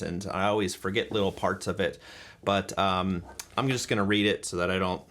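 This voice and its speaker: American host, forties, low voice, easygoing reflective talk with lots of ums. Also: male voice. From United States. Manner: relaxed, musing, with fillers and self-corrections.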